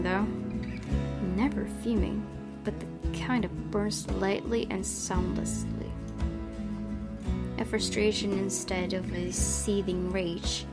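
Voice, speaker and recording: A woman's voice: mid-range voice, creaky. A woman streamer reading a horror visual novel aloud, with joking asides between passages. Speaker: game streamer. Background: game music.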